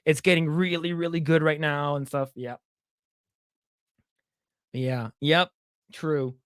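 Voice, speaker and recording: A man's voice: fairly high pitch, little pitch movement, takes it slowly, clean tone, no echo, clean studio sound. A young man co-hosting a podcast, chatting in a rambling, blunt way with casual swearing. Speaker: podcast co-host. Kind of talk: casual chat.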